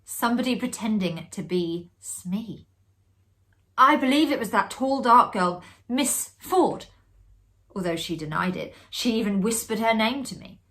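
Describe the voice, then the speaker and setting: Woman voicing an earnest, uneasy storybook gentleman. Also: on the high side, lively intonation, unhurried, voice pushed up. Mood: rattled insistence.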